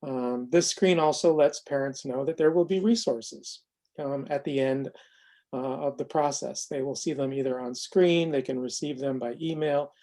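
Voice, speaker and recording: Mellow-voiced man presenting a demo. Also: medium pitch, steady tone. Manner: halting, careful explaining with self-corrections. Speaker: presenter of a software tool demonstration. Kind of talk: informal narrated screen demo, unscripted explanation.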